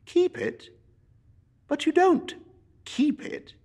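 Man voicing a cheerful young nephew, pitched well above his own voice, earnest and pleading. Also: fairly even intonation, slow pace, darkish tone. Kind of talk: storybook character reading.

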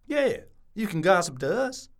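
A woman plays a bumbling small-town Southern man in a comic audio drama. The voice sits fairly low for a woman and swings widely as he blusters, defensive and evasive. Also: big pitch swings, normal speed. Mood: eager, nosy.

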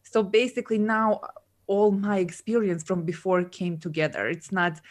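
A woman's voice: mid-range voice, steady tone, normal speed. A woman from Serbia, chatting casually as an interview guest, with lots of fillers and frequent laughs. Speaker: guest, coach and entrepreneur.

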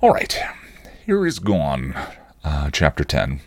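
Deep-voiced man reading a story aloud. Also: huge pitch swings, slow pace, dry recording. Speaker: podcast host reading fan fiction aloud. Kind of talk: storybook narration.

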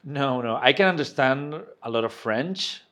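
A man's voice: medium pitch, expressive, normal speed, fairly bright tone. A Chilean man in his forties, a guest chatting casually in an interview, hesitant, often restarting his phrases, with dry humor. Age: 40s.

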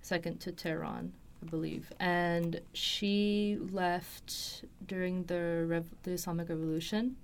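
A woman in a fairly low, very even voice. She tells a personal story as an interview guest, casually and with some hesitation, finding her words as she goes. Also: slow, few pauses, clear, resonant tone. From Los Angeles, USA. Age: 20s. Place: recording studio.

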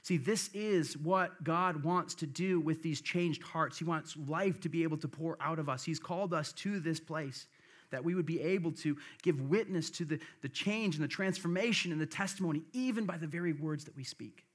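A middle-aged man from the American Midwest with a Midwestern accent slightly softened by the South, preaching a sermon, reading scripture in an earnest way and tossing in "right?" to the congregation. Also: fairly high pitch, moderate pace. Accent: Midwestern, a little softened by the South. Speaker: pastor.

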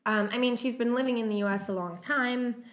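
A woman co-hosting a film podcast, chatting casually through cast notes with plenty of "um" fillers. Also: on the high side. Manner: unhurried, many "um" fillers, running through notes. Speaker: podcast co-host. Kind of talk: casual chat about a film's cast.

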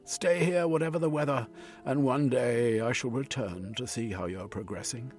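A man's voice: medium pitch, normal speed, darkish tone, faint music behind, pitched above the performer's own voice, close to an everyday voice. Man playing an earnest, solemn young soldier. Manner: solemn, formal.

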